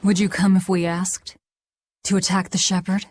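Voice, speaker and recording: A woman's voice: mid-range voice, level tone, normal speed, a little mellow, close to an everyday voice. A woman voicing a cold, blunt young female avenger in a video game, curt and stern. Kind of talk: video game cutscene voice acting.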